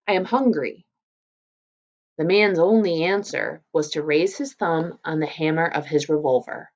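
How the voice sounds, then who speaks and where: A woman's voice: low voice, moderate pace, highs cut off. A woman teacher reading a story aloud and explaining it in an informal way. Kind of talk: read-aloud lesson with casual explanatory commentary.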